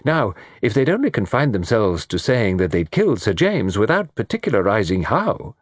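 Guy doing a fussy old british gent from a storybook, theatrical, voice swooping up high, bit husky. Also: sing-song, moderate pace, no room sound, studio quality. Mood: self-satisfied. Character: excitable elderly English gentleman, fussy, precise, theatrical.

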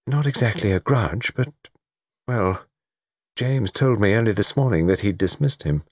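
A man voicing an anguished, halting refined lady. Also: on the low side, animated, darkish tone, no echo, clean studio sound. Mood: hesitant. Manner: halting, breaking off mid-phrase. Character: anguished, refined upper-class lady in a murder mystery.

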